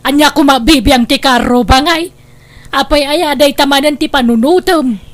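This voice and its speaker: A woman playing a loud, dismissive wife with an Ilocano accent in a comedy drama, exclaiming and scoffing. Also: sits high, moderate pace, close to an everyday voice. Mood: scoffing, defiant. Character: loud, dismissive wife planning to fix up her house.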